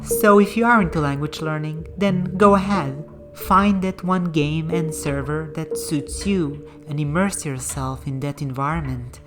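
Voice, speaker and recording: A woman with a notably low voice narrates in a calm, measured, explanatory way. Her scripted voice-over runs over a clear music bed. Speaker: language-learning channel host. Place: voice-over, not in the game.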